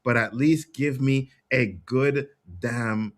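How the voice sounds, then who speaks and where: A man's voice: mid-range voice, steady tone, slow pace. A man co-hosting a casual chat show, talkative and emphatic, often laughing.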